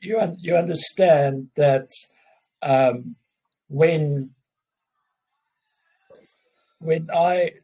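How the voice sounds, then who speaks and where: Husky-voiced man, slow and halting. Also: fairly high pitch, dark tone, muffled recording. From South Africa. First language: Afrikaans. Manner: halting, restarts often, openly emotional. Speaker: guest. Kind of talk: casual conversation.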